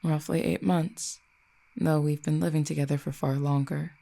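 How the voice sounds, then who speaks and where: Audio drama role: ancient fallen cupid, acted by one performer, mellow and creaky mid-pitched voice, formal, wry, quietly menacing. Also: little pitch movement, close to an everyday voice. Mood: fond, reflective. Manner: formal, eloquent, measured archaic phrasing. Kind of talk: roleplay audio drama, addressing the listener.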